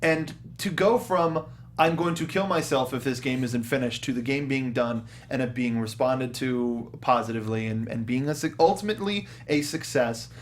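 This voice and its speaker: A man hosting a casual film discussion show, speaking in a halting, emphatic way. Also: mid-range voice. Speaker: talk show host.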